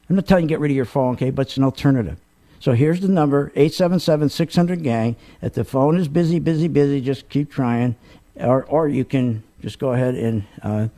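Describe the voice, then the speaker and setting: A middle-aged male radio host talking straight at his listeners in a long monologue. He is emphatic and rambling, with an average pitch, and he often stalls on repeated "uh" and "and" before pushing on. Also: mellow.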